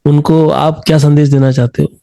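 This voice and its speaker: A man's voice: a little high, little pitch movement, moderate pace, gravelly, warm tone. A man with an Indian accent speaking as an encouraging singing coach in a conversational interview, mixing in English phrases.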